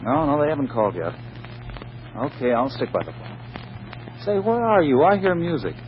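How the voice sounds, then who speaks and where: An actor playing an eager, gullible young heir in a 1940s radio drama, excitable and earnest. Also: male voice, fairly high pitch, normal speed. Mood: suspicious, dutiful. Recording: muffled noisy 1940s radio recording.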